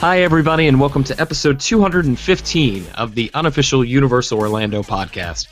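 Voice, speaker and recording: A man hosting a podcast in casual chat, his voice hoarse, upbeat and joking. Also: mid-range voice, quick pace, over music. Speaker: podcast host.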